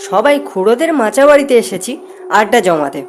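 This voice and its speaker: A woman's voice: a little high, big pitch swings, a little fast, a little hiss or hum. A woman giving dramatic, scripted narration for a cartoon fairy tale. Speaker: storyteller.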